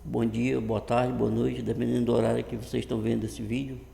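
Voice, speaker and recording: In a very echoey, reverberant room, a man in his early fifties speaks with a northern Brazilian accent. His voice is creaky and mellow. He talks casually to a webcam in a halting way, circling back over his words. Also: mid-range voice, level tone. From Brazil, Rondônia. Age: early 50s. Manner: halting, often repeats and restarts words. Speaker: vlogger introducing his channel. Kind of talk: casual talk to camera. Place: improvised untreated home room. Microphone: computer webcam setup.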